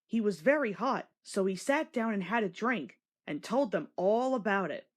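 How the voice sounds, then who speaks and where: A woman's voice: mid-range voice, expressive, normal speed. A woman narrating a scripted children's storybook as a read-along.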